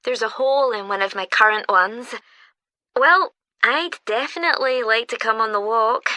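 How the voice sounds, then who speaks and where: A bright, fairly high-voiced British woman as an eager newcomer who wants to volunteer. She is polite and inquiring in a clearly spoken, scripted everyday dialogue. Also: normal speed, no echo. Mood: keen, polite. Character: keen, friendly female newcomer asking to volunteer. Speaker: actor in a listening test dialogue.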